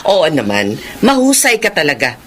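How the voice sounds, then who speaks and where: A woman's voice: low-pitched, wildly swooping pitch, normal speed, faint music behind. A woman with a Filipino accent playing an effusive, bustling film director in a radio drama. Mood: admiring, jovial.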